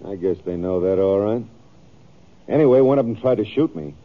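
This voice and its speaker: An American actor playing a stubborn, self-justifying cattle rancher with folksy, rough grammar in a radio western. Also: male voice, lowish voice, lively intonation, a little fast, close to an everyday voice. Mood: insistent. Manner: folksy, ungrammatical. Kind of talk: 1950s radio western acting. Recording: thin, phone-like old radio recording.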